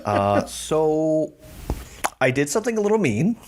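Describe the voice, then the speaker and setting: A man's voice: fairly high pitch, very animated, measured pace, background noise. A man in his thirties co-hosting a casual podcast, retelling scenes with lots of fillers and frequent laughter. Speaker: podcast co-host. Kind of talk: casual chat.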